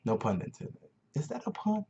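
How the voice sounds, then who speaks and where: A man's voice: fairly high pitch, wildly swooping pitch, normal speed, creaky, mellow, lo-fi sound, slight background noise. A man in his twenties giving an earnest motivational talk to his vlog audience, often repeating phrases for emphasis. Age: 20s. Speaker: vlogger.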